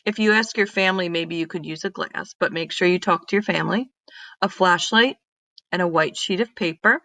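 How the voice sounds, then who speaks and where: A woman reading a children's story aloud, expressive and instructive, speaking directly to young listeners. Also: lowish voice, brisk, no echo.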